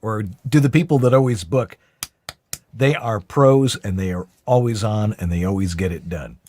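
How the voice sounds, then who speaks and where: A man's voice: fairly low pitch, normal speed, darkish tone, no echo. A man hosting a talk show, interviewing a guest in a casual, conversational way.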